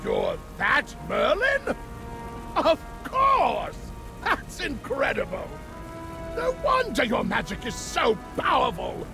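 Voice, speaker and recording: A man's voice: very high voice, slow, creaky, music in the background, heavily acted. A man voicing an eager, talkative craftsman who gushes with admiration in a game cutscene. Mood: starstruck. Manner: excitable, gushing.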